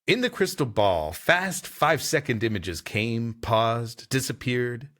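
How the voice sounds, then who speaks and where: A man's voice: mid-range voice, big pitch swings, measured pace, a bit bright. A man co-hosting a podcast, wryly and dramatically narrating a vintage sci-fi story and joking with his co-host. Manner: wry, laughs easily, dramatic narration.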